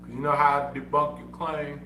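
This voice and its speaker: A man's voice: fairly high pitch, flat delivery, normal speed. A man who stutters, streaming a casual monologue and repeating himself insistently. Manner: repetitive, insistent, self-defending. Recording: echoey room, far from mic, humming noise.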